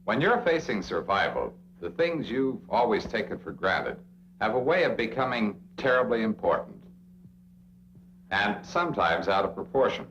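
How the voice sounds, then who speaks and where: Casting: American male narrator for a 1960s training film, lowish voice, emphatic direct address, lively inflection. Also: moderate pace. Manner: direct address to the listener, rhetorical questions, emphatic. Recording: dull, noisy old film soundtrack with hum.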